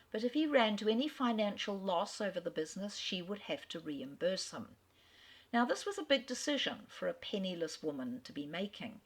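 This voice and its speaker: Woman, literary lecturer, lowish voice, animated intonation, storytelling. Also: breathy edge. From Australia. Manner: expressive, lively storytelling, acts out quotes. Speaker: lecturer on authors.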